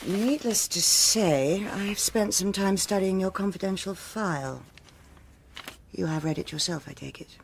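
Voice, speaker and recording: An actress playing a cool, exacting police superintendent in a radio drama, with a formal, cutting, authoritative manner. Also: female voice, medium pitch, unhurried, creaky, a little hiss or hum. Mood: probing, disapproving.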